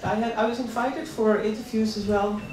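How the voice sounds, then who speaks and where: A middle-aged woman from the Netherlands gives a personal career talk in a conversational way. She is picked up from a distance in a very echoey space, with clear crowd sound around her. She leans on repeated words to make her points. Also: a little high, steady tone, normal speed. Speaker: invited speaker at a career event. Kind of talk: personal motivational talk with slides. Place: large room at an employment event. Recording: echoey hall, distant mic, audience noise.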